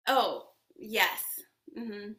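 Bright, effusive woman in a roomy space. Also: medium pitch, very slow. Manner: effusive, laughs often, spiritual talk. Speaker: yoga teacher and podcast guest. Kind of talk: casual interview chat. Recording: roomy echo.

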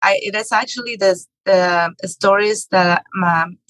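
A woman's voice: mid-range voice, unhurried, a bit bright, no echo, low-quality recording. A middle-aged woman from Mexico, a real estate investor and author, telling her story as a podcast guest with many fillers and restarts. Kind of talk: conversational interview.

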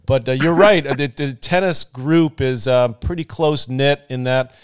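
A man's voice: mid-range voice, unhurried, clear, resonant tone. A man hosting a podcast interview, asking long, rambling questions with many restarts while he is losing his voice. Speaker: podcast host.

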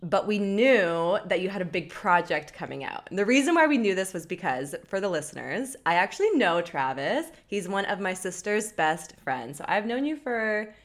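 A woman's voice: medium pitch, sing-song, moderate pace, hardly any pauses. A woman co-hosting a podcast, chatting in an effusive, informal way. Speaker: podcast co-host, interviewer. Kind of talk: casual chat.

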